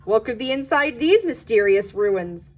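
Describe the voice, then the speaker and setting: Jokey boat skipper, played by a woman, high voice. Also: expressive, unhurried, a little mellow, soft background music. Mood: deadpan. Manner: punning, mock-serious delivery. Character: jokey male river-boat skipper, deadpan tour patter.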